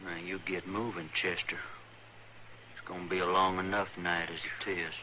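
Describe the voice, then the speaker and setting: A man's voice: sits low, flat delivery, moderate pace, sharp tone, a little hiss or hum, poor audio. An actor playing a hard young American outlaw henchman, curt, bossy and threatening, in an old radio Western. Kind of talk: 1950s radio Western acting.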